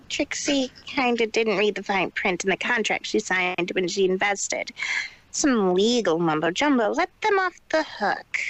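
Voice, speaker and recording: A woman's voice: mid-range voice, fairly bright tone. A woman voicing a boastful, proud show-off pony mare who talks grandly about herself in a fan fiction reading. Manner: refers to herself in the third person, haughty.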